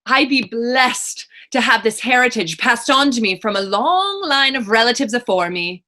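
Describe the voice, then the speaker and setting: A woman's voice: a little high, lively intonation, moderate pace, pushed and bright. An actress playing a proud, defiant woman reputed to be a witch, taunting in folksy dialect in a stage reading. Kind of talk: stage readers theater acting.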